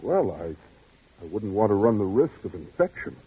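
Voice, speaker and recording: An actor playing a stern, officious children's home official in a 1940s radio drama, formal, firm and cold. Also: male voice, on the low side, very animated, moderate pace, breathy edge, narrow, tinny audio, heavily acted. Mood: formal, reluctant. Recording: thin band-limited old radio recording.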